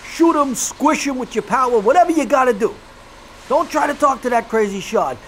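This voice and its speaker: Casting: sly American gangster kingpin, high creaky voice, theatrical and streetwise, for period audio drama. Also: male voice, expressive, moderate pace, a bit bright, a little hiss or hum, heavily acted, rough recording. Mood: grim warning. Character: gleeful sweet-toothed gangster crime boss, sly and menacing.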